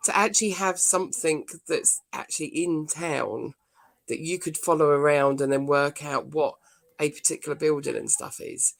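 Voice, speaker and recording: A British woman with a low, creaky voice. She chats casually and informally as a co-host on a video call. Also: normal speed. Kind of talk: casual chat. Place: indoor room, video call.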